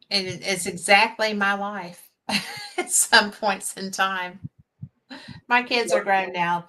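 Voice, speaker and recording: An older Southern woman hosts a relaxed live chat, speaking slowly and unhurriedly. Her voice is bright and forceful, and her talk is folksy, full of homespun sayings and easy laughter. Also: lowish voice, vocal fry, low-quality recording. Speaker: host. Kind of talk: casual chat on a live stream.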